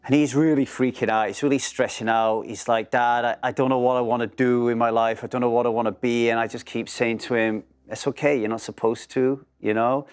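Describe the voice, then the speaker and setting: A man's voice: medium pitch, fairly even intonation, fairly quick, hardly any pauses, clear, resonant tone. A middle-aged man hosting an interview, chatting freely and swapping his own stories with the guest. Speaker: interview host. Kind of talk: casual interview chat.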